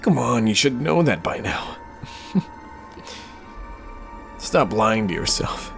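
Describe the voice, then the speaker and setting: Man playing a slow-talking, cocky flirtatious supervillain. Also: mid-range voice, very animated, gravelly, music in the background, exaggerated voice. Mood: cocky, playful. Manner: teasing, smug, chuckling banter. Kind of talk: ASMR roleplay acting.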